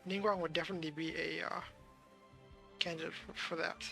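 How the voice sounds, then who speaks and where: A game streamer giving casual, musing, halting live commentary on a game's story. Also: medium pitch, little pitch movement, vocal fry, bright, forceful tone, soft background music.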